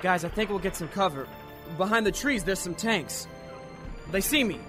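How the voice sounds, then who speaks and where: Young American Marine, wry, higher-pitched, radio war drama with battle sounds and score. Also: male voice, expressive, moderate pace. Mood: urgent. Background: music, gunfire and artillery.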